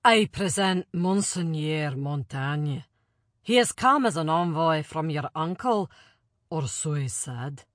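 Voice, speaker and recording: A woman's voice: sits low, expressive, slow, dry recording, studio quality. A woman voicing a grave, deferential male castle guard in a storybook reading, speaking formally. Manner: formal, apologetic.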